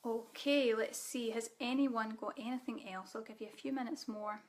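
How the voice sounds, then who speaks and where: Soft-spoken Scottish woman, even tone. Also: a little high, normal speed. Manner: soft guided-meditation delivery with fillers. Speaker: spiritual teacher. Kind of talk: live online group training.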